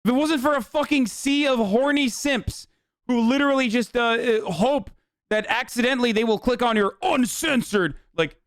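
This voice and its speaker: A man streaming live reaction commentary, exasperated, argumentative and quick to swear. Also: extremely high pitch, clean tone, no room sound, clean studio sound. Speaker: streamer host.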